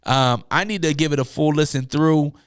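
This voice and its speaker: A young man with a hoarse, tired voice hosting a casual solo podcast, rambling loosely with many fillers and asides from a room at home. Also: on the high side, moderate pace, dry recording, clean studio sound. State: hoarse, losing his voice. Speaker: podcast host.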